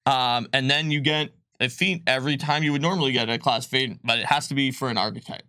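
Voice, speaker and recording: A man's voice: medium pitch, fairly even intonation, quick pace, bright, forceful tone, dry recording, clean studio sound. A man casually explaining tabletop game rules on a livestream, rambling with lots of likes and ums. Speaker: game designer presenting rules.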